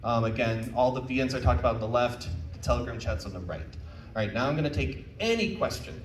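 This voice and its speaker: A man's voice: mid-range voice, animated, a little fast. Man giving a talk in an echoey room. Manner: conversational, frank, encouraging. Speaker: panel speaker. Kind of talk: informal convention talk with audience questions. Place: convention panel room. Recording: roomy, distant mic, faint background noise.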